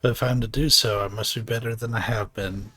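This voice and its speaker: A man's voice: fairly low pitch, fairly even intonation, fast talker, sharp tone, slightly rough. An actor playing an earnest young male warrior in a video game, speaking in a heartfelt, resolute way.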